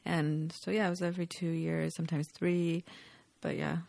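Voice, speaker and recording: Young woman from Canada, Polish first language, low voice, gravelly, dry close sound, laid-back chatter. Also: normal speed, no room sound. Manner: laughs often, relaxed and chatty. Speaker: guest. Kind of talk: casual interview chat.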